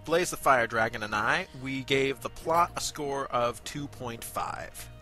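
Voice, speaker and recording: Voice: medium pitch, unhurried, pushed and bright, soft background music. An amateur web review host with a chatty, playfully mock-formal manner, moving between casual banter and announcements.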